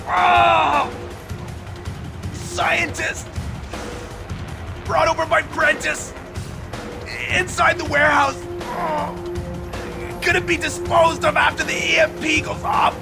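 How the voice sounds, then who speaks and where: A video game thug being interrogated. His voice is strained extremely high with a gravelly crackle, and he gasps out his words. Music runs behind him. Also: level tone, slow, pauses often, exaggerated voice. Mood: panicked, agonized. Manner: strained, gasping, spitting words out. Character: defiant male henchman under brutal interrogation. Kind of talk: video game cutscene acting.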